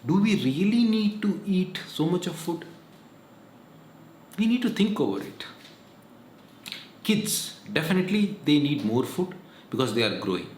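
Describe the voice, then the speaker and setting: A man's voice: on the high side, sing-song, unhurried, pauses often, a little mellow. A man, giving earnest, repetitive health advice in a casual vlog monologue. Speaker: vlogger. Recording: echoey room, faint noise.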